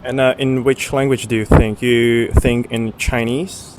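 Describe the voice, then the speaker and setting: A man interviewing a guest, asking questions with many pauses and fillers. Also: medium pitch, little pitch movement, noisy background. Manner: asks questions with many hesitations.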